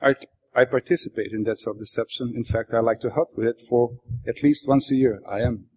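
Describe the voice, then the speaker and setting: Male author, native Bosnian speaker with Bosnian accent, mid-pitched, reading literary prose aloud with dry, wry delivery. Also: steady tone, a little fast, a little mellow. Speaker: author reading his own work.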